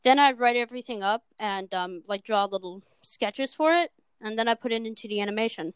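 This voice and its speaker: A woman's voice: fairly high pitch, fairly quick, clean tone, dry recording, rough recording. A seventeen-year-old girl from Minnesota chatting as a guest about her animation work, on a cheap microphone, with lots of ums. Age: teens. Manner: chatty, filler-heavy, self-deprecating. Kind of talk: casual chat.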